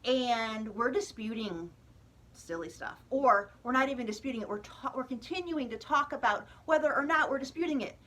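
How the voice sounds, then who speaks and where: A woman's voice: fairly high pitch, expressive, moderate pace, a bit bright. An American woman vlogger giving a casual opinion monologue to viewers, chatty and emphatic with exasperated asides.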